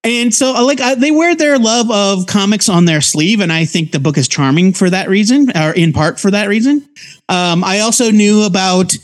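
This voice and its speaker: A man with a notably high voice co-hosts a casual review podcast. He explains things in detail, in an easy conversational way with a geeky bent. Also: moderate pace. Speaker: co-host of a comics review podcast.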